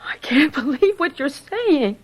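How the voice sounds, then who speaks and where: An actress playing a distressed, lovelorn young woman in a radio drama, speaking in a halting, pleading, emotional way. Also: high-pitched, very animated. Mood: hurt, incredulous.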